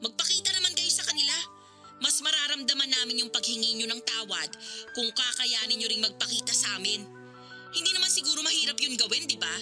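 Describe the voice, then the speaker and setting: A woman's voice: on the high side, fast talker, bright, forceful tone. An actress playing a hurt, resentful grown daughter reproaching her father in an emotional radio drama. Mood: reproachful. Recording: dramatic music behind the voice. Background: music.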